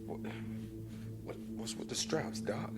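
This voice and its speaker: An actor playing a dazed, frightened, wounded lieutenant who stammers haltingly in a science fiction audio drama. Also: male voice, fairly low pitch, music in the background. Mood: panicked, confused. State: groggy, frightened. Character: panicked, dazed wounded male lieutenant, jittery, disoriented.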